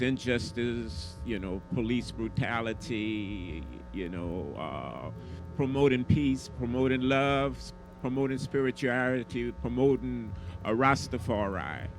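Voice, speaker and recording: A man interviewing a musician for radio, asking unhurried questions with pauses and fillers. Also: mid-range voice.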